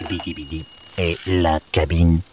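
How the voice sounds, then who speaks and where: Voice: deep voice, level tone, unhurried, darkish tone, slight background noise. An actor voicing a friendly, anxious alien robot in a children's cartoon, with a babbling nonsense verbal tic. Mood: doubtful. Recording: music behind the voice.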